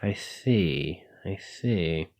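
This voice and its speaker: A man's voice: deep voice, lively intonation, drawn-out words, darkish tone, no echo, studio quality, heavily acted. A man playing a flirty, possessive dragon-blooded librarian in an intimate ASMR roleplay, with a teasing, coy way of drawing out his phrases. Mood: curious, teasing.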